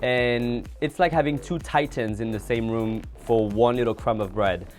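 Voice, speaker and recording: Man, show guest, casual chat, earnest, thoughtful, medium pitch, faint music behind. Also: normal speed. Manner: earnest, thoughtful, laughs easily. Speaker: guest, drag performer.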